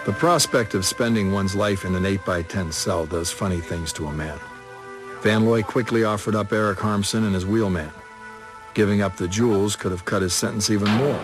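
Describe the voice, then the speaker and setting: A male narrator with a very low voice tells a crime story in a wry, hard-boiled style. Music plays clearly underneath.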